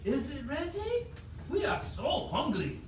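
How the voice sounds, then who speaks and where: A woman's voice: high-pitched, wildly swooping pitch, unhurried, darkish tone, exaggerated voice. A woman voicing village animals in a live children's puppet show, with exaggerated, questioning delivery. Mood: hungry. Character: village animals, including a grudging, sheepish raccoon. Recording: echoey room, distant mic, noisy background.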